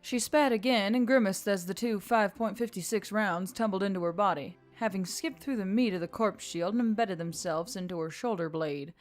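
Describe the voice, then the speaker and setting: A woman's voice: medium pitch, moderate pace, hardly any pauses. A woman reading scripted action fiction as an audiobook narrator. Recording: background music under the voice.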